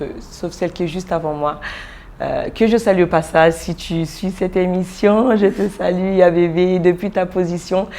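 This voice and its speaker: A woman in her forties from Congo, a pastor being interviewed, telling her story softly with many pauses, fillers and restarts. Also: a little hiss or hum. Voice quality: low, soft voice with frequent fillers and restarts. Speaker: pastor, guest.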